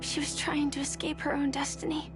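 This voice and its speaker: A woman acting in a video game as an earnest young woman, reflective and measured as she recounts tragic history. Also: sits high, little pitch movement, in a whisper, over music, lightly acted. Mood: tender, wistful.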